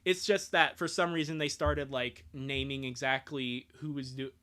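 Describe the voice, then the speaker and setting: A man's voice: mid-range voice, steady tone, normal speed, pushed and bright. A man doing a casual live comic reading with joking asides, rambling and swearing and breaking off mid-sentence.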